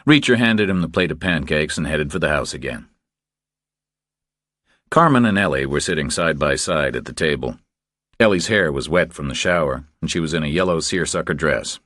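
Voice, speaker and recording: A man with an extremely deep voice narrates a crime thriller audiobook. His pitch moves in lively steps through the scripted prose, recorded close and dry. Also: moderate pace, dry recording.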